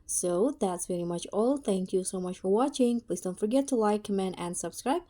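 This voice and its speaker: A woman narrating a casual step-by-step app tutorial as its host, with a halting, hesitant delivery. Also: mid-range voice, mellow.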